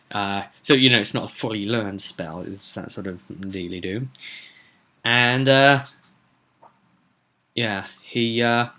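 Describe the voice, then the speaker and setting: Male, lowish but bright and punchy voice; rambling, off-the-cuff tabletop campaign storyteller with emphatic stresses. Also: slight background noise. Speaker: host recapping a tabletop roleplay campaign. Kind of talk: casual unscripted storytelling.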